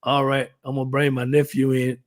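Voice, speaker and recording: A man's voice: mid-range voice, completely flat delivery, a little fast. A man in his fifties hosting a casual chat, rambling with many restarts and fillers. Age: 50s. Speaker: podcast host.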